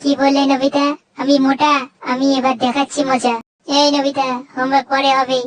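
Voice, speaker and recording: A woman's voice: sits high, flat delivery, a little fast, lightly acted. A woman voicing a childlike robot cat companion in a cartoon dub, touchy, stubborn and scolding. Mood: indignant.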